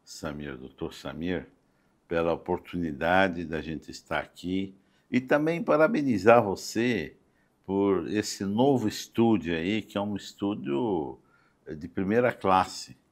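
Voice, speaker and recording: An older Brazilian man speaking as a guest in a conversational podcast interview, halting and often adding small check-in tags. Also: low voice. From Campo Grande, Brazil. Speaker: interview guest, doctor and city councillor. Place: podcast studio.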